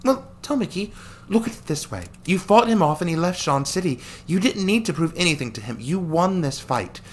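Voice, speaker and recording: A man voicing a caring young woman in a story, earnest and gently reassuring as the hero's friend. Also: sits high, animated, a little fast, voice pushed up. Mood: encouraging.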